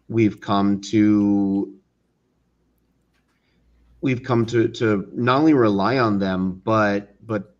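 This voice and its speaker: A man chatting casually as an interview guest, halting and earnest, on a dull lo-fi recording. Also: lowish voice. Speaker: interview guest, studio co-manager. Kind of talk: casual chat. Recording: dull, lo-fi audio.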